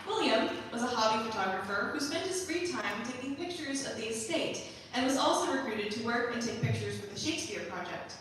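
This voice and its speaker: A woman giving a measured, explanatory scripted introduction to songs at a staged reading of a musical. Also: fairly high pitch, lively intonation, a bit bright, a little hiss or hum. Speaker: presenter of her own musical. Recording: very echoey hall, distant, faint music.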